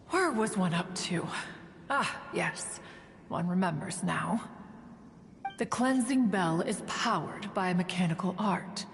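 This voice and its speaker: A woman's voice: mid-range voice, lightly acted. Woman acting a proud immortal female adeptus, game dialogue, stately formal phrasing, long reverb, faint noise. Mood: haughty. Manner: formal, stately, archaic storytelling, refers to self as "one". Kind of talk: scripted video game dialogue.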